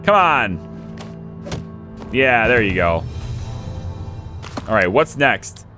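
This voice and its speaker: A young man hosting a let's play, chatting casually as he plays and talking to his units, a little hoarse from losing his voice. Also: lowish voice, very animated, drawn-out words, sharp tone, soft background music. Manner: chatty, thinks aloud, talks to his units. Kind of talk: casual gameplay commentary.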